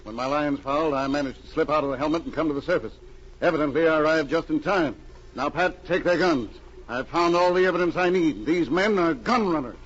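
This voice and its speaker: An actor playing a brave, decisive special agent and diver in an old radio adventure, reporting in clipped statements. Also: male voice, a little high, a little fast, long pauses, fairly bright tone. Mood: commanding. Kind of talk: 1940s radio adventure acting. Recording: muffled, noisy old radio recording.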